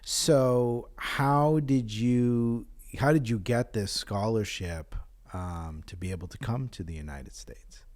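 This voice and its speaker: A man hosting a podcast, asking questions in an earnest, halting way with many restarts. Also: mid-range voice, darkish tone. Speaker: podcast host. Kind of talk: casual podcast interview.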